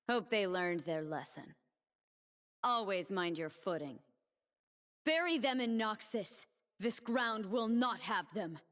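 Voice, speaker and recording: An actress voicing a proud, commanding warrior dancer who defends her homeland, speaking solemn, measured lines for a video game. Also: medium pitch, lively intonation, fairly bright tone, long reverb tail. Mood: grim resolve.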